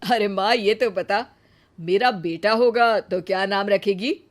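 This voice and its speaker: Woman acting a slow-paced, casual, devoted grown son. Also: medium pitch, close to an everyday voice. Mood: affectionate. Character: devoted, protective adult son and husband. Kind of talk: acted Hindi family moral story.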